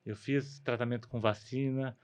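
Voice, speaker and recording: A man in his forties from Brazil, an ENT doctor speaking as a podcast guest, easygoing and quick to laugh. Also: mid-range voice, steady tone. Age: 40s. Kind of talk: casual podcast interview.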